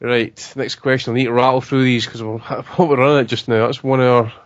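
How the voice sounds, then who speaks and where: Brisk man hosting a boxing podcast. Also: medium pitch, flat delivery, no room sound, lo-fi sound. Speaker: podcast host. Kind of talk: casual boxing chat, reading listener questions.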